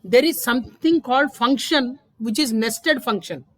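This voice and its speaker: A high-pitched woman walks through code one step at a time, checking in with "right?". The recording is close and dry, with no room sound. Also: moderate pace, no room sound. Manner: steady step-by-step explaining, frequent "right?". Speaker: instructor. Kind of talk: screen-recorded coding lesson.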